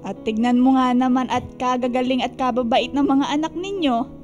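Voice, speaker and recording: An actress playing a friendly, pious older neighbour in a Filipino radio drama, effusive and cheerful. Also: female voice, sits high, normal speed, a little mellow, dull audio, faint music behind, lightly acted. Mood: gushing, admiring. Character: friendly, pious older neighbourhood churchgoing lady, gushing praise.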